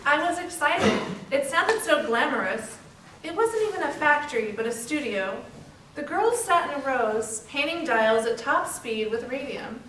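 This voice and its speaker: A woman's voice: high-pitched, lively intonation, unhurried. A woman playing a young, eager dial painter recalling her factory job in a readers theater piece. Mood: eager recollection. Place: library readers theater performance, distant microphone. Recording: roomy, distant mic, noisy stage recording.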